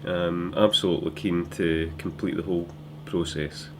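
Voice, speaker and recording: Deep-voiced earnest Scottish man. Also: steady tone, moderate pace, noisy background. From Falkirk, Scotland. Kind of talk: interview answer.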